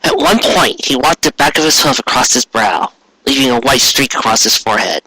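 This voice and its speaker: A man's voice: medium pitch, expressive, fairly quick, pushed and bright, husky, dry recording, rough recording. A man narrating a written story expressively, with earnest, dramatic phrasing. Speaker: story reader.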